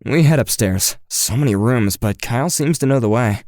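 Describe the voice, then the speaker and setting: A man's voice: mid-range voice, expressive, normal speed, background noise, lightly acted. A young man playing an earnest, awkward, wry teenage boy who narrates and talks in an audio drama. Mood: wry narrating. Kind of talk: first-person narration and dialogue in an audio drama.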